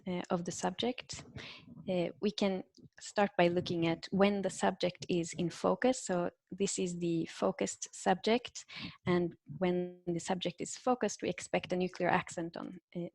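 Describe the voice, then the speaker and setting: A woman's voice: lowish voice, gravelly, a little mellow, no room sound. Woman giving an academic talk. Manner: measured, frequent "uh" fillers, explanatory. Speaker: linguistics researcher presenting a talk.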